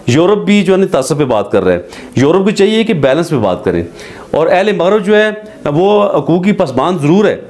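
Earnest Pakistani man, measured commentary. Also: fairly high pitch, darkish tone. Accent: Pakistani Urdu. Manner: earnest, measured, frequent hesitations. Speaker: advocate commentator. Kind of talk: direct-to-camera commentary. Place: studio with green screen backdrop.

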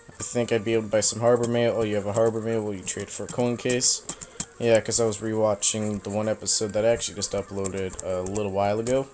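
A young man casually commenting while he plays a video game, rambling and self-mocking, his voice slightly raspy from a cold. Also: lowish voice, a little mellow. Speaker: let's play host.

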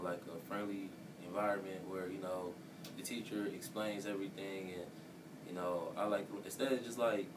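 Very deep, flat-voiced young man, rambling. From Milwaukee, Wisconsin. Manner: rambling, heavy "you know" and "like" fillers. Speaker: student. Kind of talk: casual interview answers. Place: office computer room, indoors. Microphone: distant camera mic. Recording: distant mic with steady hiss.

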